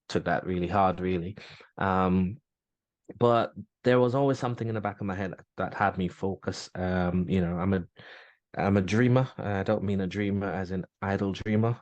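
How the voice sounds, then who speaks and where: Deep-voiced man, candid and halting. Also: a little mellow, no echo. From Caribbean, grew up in Grenada. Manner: reflective, candid, halting with many fillers and restarts. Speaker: podcast guest, military veteran. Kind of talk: casual interview chat.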